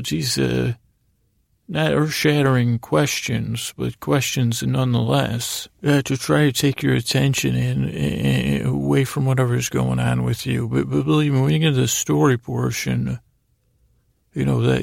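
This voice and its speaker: Male sleep podcast host, heavy vocal fry, dry close sound, rambling off the cuff with stammers and detours. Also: medium pitch, a little mellow, no echo. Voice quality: gravelly, creaky. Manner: meandering, halting, stammering, self-interrupting. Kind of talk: rambling improvised bedtime monologue.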